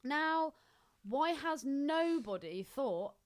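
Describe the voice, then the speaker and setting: A woman's voice: high-pitched, animated, very slow. A woman co-hosting a podcast, chatting casually about a film in a blunt, sceptical way. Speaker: podcast co-host.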